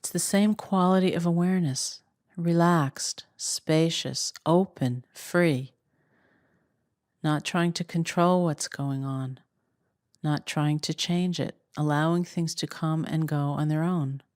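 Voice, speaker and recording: A woman meditation teacher giving guided instructions in a calm, unhurried way, leaving long pauses between phrases. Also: low voice, no room sound, studio quality.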